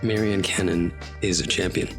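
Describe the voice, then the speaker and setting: A man hosting an interview podcast in a studio, asking polished, measured questions. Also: low-pitched, fairly even intonation, music in the background. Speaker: podcast host. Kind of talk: interview podcast with scripted intro.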